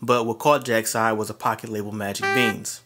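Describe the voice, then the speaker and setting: A man's voice: fairly low pitch, little pitch movement, moderate pace, bright, forceful tone. Sardonic male comic storyteller over music. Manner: profane, sardonic, slangy. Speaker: host and comedic storyteller. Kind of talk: scripted comic narration.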